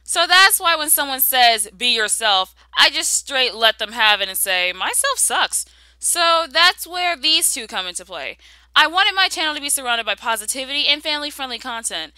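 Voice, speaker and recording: Very bright, sassy young-sounding woman's voice. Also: sits high, no room sound, poor audio. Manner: sassy, blunt, flips between sweet and glum. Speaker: YouTuber host answering viewer questions. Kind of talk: casual scripted Q&A voice-over.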